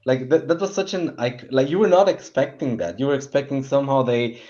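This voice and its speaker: A man's voice: medium pitch, a little fast, a little mellow, dull audio. A man chatting casually as a panelist in a film discussion. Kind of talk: casual chat.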